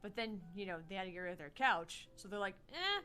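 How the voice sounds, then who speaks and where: A woman's voice: medium pitch, sing-song, brisk, sharp tone. A young woman co-hosting a gaming livestream in casual, playful chat, swearing now and then. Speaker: livestream co-host.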